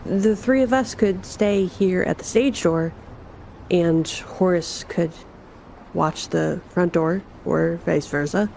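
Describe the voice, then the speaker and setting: A woman's voice: fairly low pitch, slow, vocal fry, darkish tone, slight background noise, rough recording. A woman playing a tabletop roleplaying game, chatting casually in and out of character.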